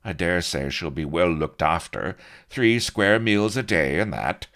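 A man voicing a cynical, wisecracking rogue who talks in a flippant, sarcastic way, his voice pushed high above his own. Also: big pitch swings, normal speed, a bit bright.

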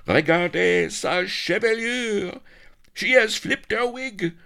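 A man's voice: sits high, slow, vocal fry, pushed and bright, pitched above the performer's own voice, heavily acted. A man voicing a foppish, easily horrified French pirate in an audiobook, theatrical and exclaiming, with a French accent. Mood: aghast, hysterical.

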